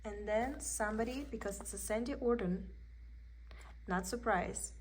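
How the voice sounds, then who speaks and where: A woman's voice: mid-range voice, normal speed, darkish tone, a little hiss or hum. Chatty woman with a Russian accent. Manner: chatty, meandering, thinks aloud. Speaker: cross-stitch vlogger. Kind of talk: casual show-and-tell haul. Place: indoors at a table.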